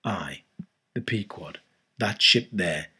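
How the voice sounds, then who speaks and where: A man doing a husky, ominous old sailor. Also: low-pitched, expressive, takes it slowly, pushed and bright, exaggerated voice. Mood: insistent. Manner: earnest, cryptic, ominous. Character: ragged old male sailor, eerie and insistent. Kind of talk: audiobook character voice.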